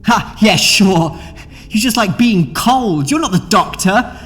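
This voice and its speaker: A man's voice: high-pitched, expressive, takes it slowly, fairly bright tone, full character voice. An actor playing an obsessive, wounded young man in an audio drama, pleading and faltering with emotion. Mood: wounded anger. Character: obsessive, needy, wounded young male hanger-on. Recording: long echoing reverb, music behind.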